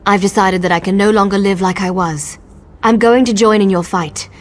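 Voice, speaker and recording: An actress playing a grateful, resolute outcast in a video game, speaking earnestly. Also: female voice, mid-range voice, fairly even intonation, normal speed, close to an everyday voice. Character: grateful, resolute female outcast joining the fight. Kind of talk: video game character acting.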